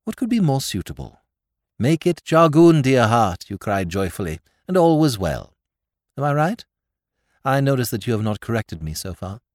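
A man's voice: on the low side, sing-song, normal speed, darkish tone, no echo, clean studio sound. Man reading as a cool, needling young American. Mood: sardonic. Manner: drawling, coolly sarcastic. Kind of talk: audiobook character voice.

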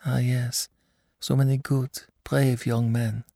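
A man's voice: mid-range voice, little pitch movement, slow pace, gravelly, warm tone, no room sound, clean studio sound, pitched above the performer's own voice. A man reading an audiobook, voicing a young émigré mother who gushes and slips French phrases into her English. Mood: gushing. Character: anxious, effusive young émigré mother, woman.

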